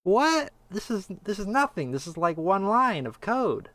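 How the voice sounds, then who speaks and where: A man's voice: high-pitched, sing-song, measured pace, a crowd in the background. A man hosting a casual podcast chat, wry and meandering, with a lot of fillers. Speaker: podcast host.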